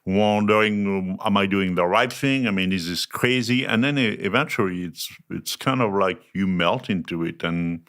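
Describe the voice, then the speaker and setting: A French chef with a French accent, a podcast guest who talks in a relaxed way and laughs easily. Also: male voice, deep voice, moderate pace, hardly any pauses. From France. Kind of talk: casual interview chat.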